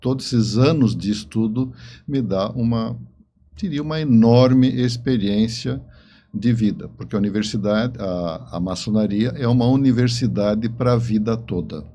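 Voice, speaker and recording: A man in his seventies with a Brazilian accent answering interview questions conversationally, in a halting way that repeats words. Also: lowish voice, warm tone, slight background noise. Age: 70s. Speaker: interview guest, writer and engineer.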